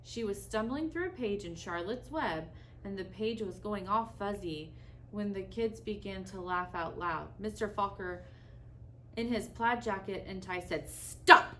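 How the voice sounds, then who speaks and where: A woman reading a children's story aloud expressively, with deliberate pauses and dramatic emphasis. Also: mid-range voice, a little hiss or hum, rough recording.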